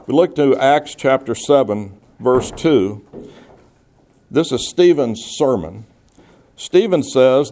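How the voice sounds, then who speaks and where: A pastor reads a Bible passage aloud, then explains it verse by verse. His voice is mellow and warm, and his pitch sits in a normal range for a man. He sounds measured and teacherly, working through questions aloud with his congregation. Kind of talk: expository Bible teaching, reading scripture and explaining it.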